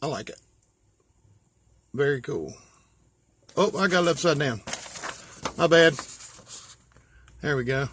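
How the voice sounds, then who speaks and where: An American man from West Virginia talking casually and informally as he shows things, pausing to think aloud. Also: fairly high pitch, expressive, fairly bright tone, slight background noise. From West Virginia, USA. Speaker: hobbyist vlogger. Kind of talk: casual show-and-tell.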